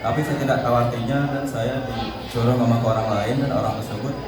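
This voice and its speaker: A man's voice: medium pitch, level tone. Teen boy guest in echoey hall. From Tangerang. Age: teens. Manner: plain, slightly halting answers. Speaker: student guest. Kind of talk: casual stage interview. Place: school event hall. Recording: echoey hall mic, far off, loud crowd.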